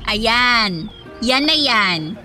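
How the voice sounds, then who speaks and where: Bright-voiced, coy ex-girlfriend. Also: on the high side, animated, drawn-out words, faint music behind, heavily acted. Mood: playful, nostalgic. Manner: teasing, coy, conversational. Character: Mitch, playful, guarded ex-girlfriend being courted again. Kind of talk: radio drama dialogue.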